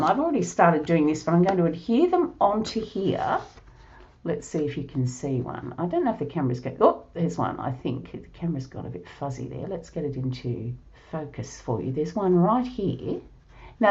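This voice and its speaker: A hoarse Australian woman with a low voice talks through a card-making project, her pitch swinging up and down as she chats. She laughs now and then between instructions. The sound is a little muffled and lo-fi. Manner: chatty, laughs often. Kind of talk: crafting tutorial narration. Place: home craft studio, at a table.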